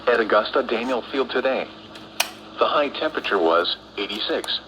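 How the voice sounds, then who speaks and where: A man's voice: mid-range voice, lively intonation, normal speed, muffled recording, noisy background. A man reading a scripted weather bulletin as a radio announcer, heard through a small radio speaker.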